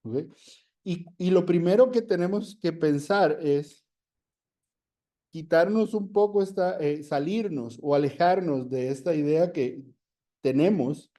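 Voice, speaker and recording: Um homem com sotaque espanhol, que tem o espanhol como língua materna, fala devagar e com calma, numa mistura de espanhol e português. A voz é suave e um pouco aguda. Ele faz pausas para buscar as palavras enquanto fala a pastores. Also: male voice, fairly high pitch. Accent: Spanish. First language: Spanish. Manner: slow and deliberate, searching for words. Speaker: guest speaker and church mentor. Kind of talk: talk to pastors, mixing Spanish and Portuguese.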